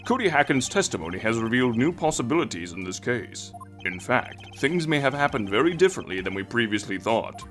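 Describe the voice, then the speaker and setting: A man's voice: medium pitch, big pitch swings, brisk, fairly bright tone. A man puts on an elderly courtroom judge, formal and easily baffled. His bumbling, pompous delivery rises and falls widely, set over faint game music. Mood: solemn. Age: older character. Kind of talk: amateur fan dub of a courtroom video game. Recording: background music under the voice.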